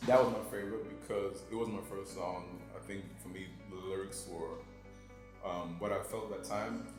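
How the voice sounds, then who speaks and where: Give me a young man with a low voice, chatting in an interview, music playing behind him. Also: steady tone, moderate pace, roomy sound. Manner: laughs often, repeats words while thinking. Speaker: musician guest. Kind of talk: casual interview chat.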